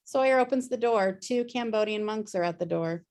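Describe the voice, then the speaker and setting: A woman's voice: medium pitch, normal speed, darkish tone. A woman reading screenplay stage directions as scripted narration on a video-call table read.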